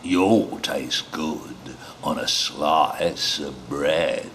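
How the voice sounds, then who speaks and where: A man voicing a big, gullible monster from a children's storybook, with slow, heavy, drawn-out words. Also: very deep voice, a bit bright, a little hiss or hum, voice pushed down, heavily acted. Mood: menacing.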